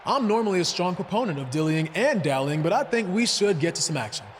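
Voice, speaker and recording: Very high, animated goofy wrestler. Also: male voice, fast talker, a little hiss or hum. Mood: playful. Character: excitable, chatty, goofy male pro wrestler. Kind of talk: comic video game cutscene dialogue.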